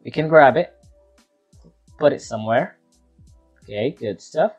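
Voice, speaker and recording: A man's voice: medium pitch, lively intonation, a little slow, husky, muffled recording, faint music behind. A man giving an unscripted screen-share tutorial, talking through each step as he works and often repeating himself. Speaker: tutorial presenter.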